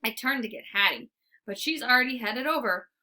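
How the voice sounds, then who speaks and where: A woman reading a children's storybook aloud in an expressive, theatrical way. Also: fairly high pitch, a little fast, low-quality recording. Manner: animated, theatrical. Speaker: storybook reader. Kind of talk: expressive read-aloud narration.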